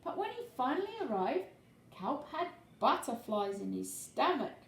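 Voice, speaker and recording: A woman tells a children's story in a very animated, sing-out read-aloud style. She goes slowly and stretches words for emphasis, with some creak in the voice. The living room is echoey. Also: high-pitched. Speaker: storyteller reading a children's picture book. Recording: echoey living room, faint background noise.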